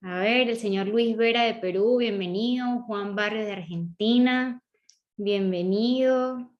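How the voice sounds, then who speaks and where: A woman's voice: fairly high pitch, a little slow, dull audio. Venezuelan woman hosting a webinar. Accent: Venezuelan, slightly Colombian-sounding. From southern Venezuela. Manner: welcoming, greets attendees by name. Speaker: webinar host. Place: online video call.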